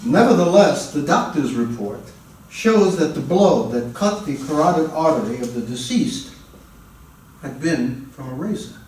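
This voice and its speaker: An actor in a dramatic stage reading, playing a stern magistrate who questions and accuses insistently. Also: male voice, fairly high pitch, lively intonation, measured pace, a little mellow, a little hiss or hum. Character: stern, accusing magistrate interrogating a murder suspect. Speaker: reader playing the magistrate in a play. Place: large indoor room at a college festival reading. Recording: echoey hall, far from the mic.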